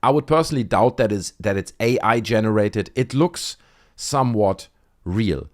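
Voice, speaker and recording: Low-voiced male host with very animated intonation. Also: moderate pace. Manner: conversational, frequent tag questions like "okay" and "right". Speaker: YouTube host. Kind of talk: casual video commentary debunking clips.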